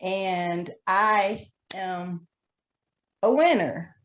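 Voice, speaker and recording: A woman's voice: mid-range voice, extremely slow, fairly bright tone. A woman from Michigan thanking her instructor, earnest and grateful. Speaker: student, award winner.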